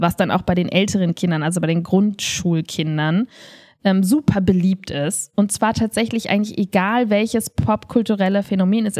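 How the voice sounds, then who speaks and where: A woman's voice: medium pitch, darkish tone. Casual woman chatting on a podcast. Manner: informal youthful slang with English words. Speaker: podcast co-host, improv performer.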